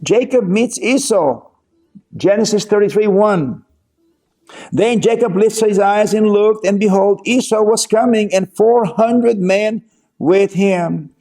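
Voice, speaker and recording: A man from Israel giving an earnest sermon and reading scripture aloud at a slow pace. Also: high-pitched, warm tone. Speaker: teacher of scripture.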